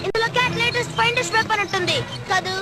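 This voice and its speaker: Fast, very shrill cocky cartoon boy. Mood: confident. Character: cocky, competitive young boy. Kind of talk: Telugu-dubbed cartoon acting. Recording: loud music behind the dialogue.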